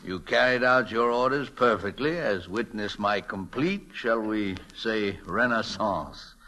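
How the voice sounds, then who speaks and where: Elderly telegraph man, fond of drink. Lowish voice, formal, halting, grandiloquent, kindly, classic radio drama. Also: pushed and bright. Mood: kindly, reflective. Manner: hesitant, wordy, formal. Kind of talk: old-time radio drama acting.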